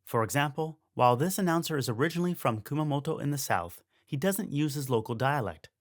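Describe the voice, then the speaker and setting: A man narrating a documentary in an even, explanatory way. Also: mid-range voice, quick pace, no room sound, studio quality. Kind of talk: scripted narration.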